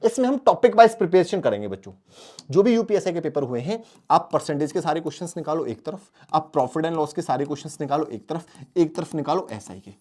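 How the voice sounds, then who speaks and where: Guy, exam coaching teacher, talks really fast, emphatic, repeats stuff for his students. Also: fairly high pitch, expressive, a little mellow. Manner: emphatic, repeats key phrases; asks questions and answers them himself. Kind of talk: exam strategy lesson addressed to students.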